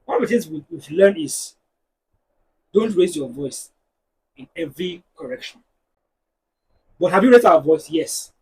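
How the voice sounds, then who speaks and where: Animated Nigerian man, high voice. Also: unhurried, long pauses, recorded from a distance, slight background noise, poor audio. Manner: animated, preachy, storytelling. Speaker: guest. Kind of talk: casual panel discussion.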